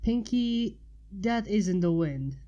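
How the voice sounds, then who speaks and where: A woman's voice: mid-range voice, animated, slow pace, dark tone, highs cut off. A woman voicing a sensible, puzzled young female cartoon pony in a mild, questioning way. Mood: cautious.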